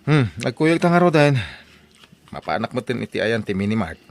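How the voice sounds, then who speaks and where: Animated Ilocano man acted, close dry sound. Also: medium pitch, normal speed, gravelly, no echo. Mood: inquiring, concerned. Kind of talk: comedy audio drama.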